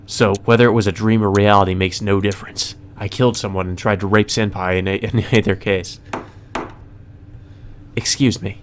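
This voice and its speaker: Low-voiced man reading a visual novel. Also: flat delivery, fairly quick, hardly any pauses, slight background noise. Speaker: let's player reading a visual novel aloud. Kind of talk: story narration with casual commentary. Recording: music under the voice.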